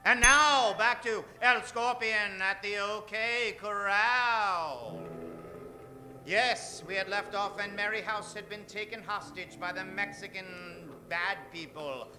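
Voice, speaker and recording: A man's voice: sits high, takes it slowly, over music. A man narrating and announcing in a theatrical old-time radio style, melodramatic and playful.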